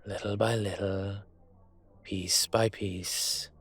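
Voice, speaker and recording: A man playing a brooding, courteous and vengeful dragon mafia boss in an intimate audio roleplay, speaking in a measured, hesitant way with a husky voice. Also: lowish voice, little pitch movement, fairly bright tone, full character voice. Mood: grim, resolute. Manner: measured, hesitant, pausing often. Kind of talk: audio roleplay drama, intimate dinner conversation.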